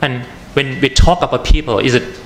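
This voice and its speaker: A man with a Thai accent, an atmospheric chemist giving a conference talk, halting with many fillers and restarts. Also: mid-range voice, fairly even intonation, fairly bright tone. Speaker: panel speaker, atmospheric chemist. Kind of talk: conference presentation. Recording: echoey room with faint noise.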